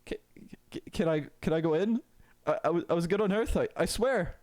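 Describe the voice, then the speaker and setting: A man's voice: high voice, a little fast, a little mellow, dry recording, voice pushed up, lightly acted. A washed-up, lonely gamer of about fifty, acted by a male storyteller, talks in a shy mumble. He stammers constantly and hesitates, timid and unsure, in a melodramatic comic tale. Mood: timid, pleading.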